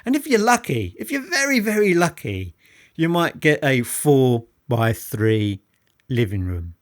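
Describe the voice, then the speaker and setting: Man with very lively, swinging intonation. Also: mid-range voice, measured pace. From United Kingdom. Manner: emphatic, repetitive, rhetorical questions to the listener. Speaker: hi-fi product reviewer and presenter. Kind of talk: enthusiastic unscripted product talk.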